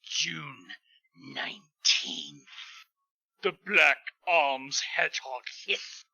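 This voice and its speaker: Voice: medium pitch, extremely slow, pushed and bright, dry recording, lo-fi sound. A reader joking casually while reading fan fiction aloud with friends.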